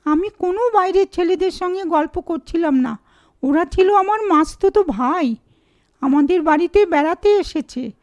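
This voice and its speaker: A young woman from a cartoon story, played by a woman, speaks in a high voice. She explains herself earnestly. The recording is slightly dull and lo-fi. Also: animated, moderate pace, darkish tone.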